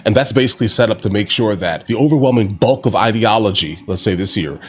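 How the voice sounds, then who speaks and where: An American man with a fairly low voice gives casual political commentary as a reaction host. He speaks conversationally, addressing his viewers directly. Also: quick pace.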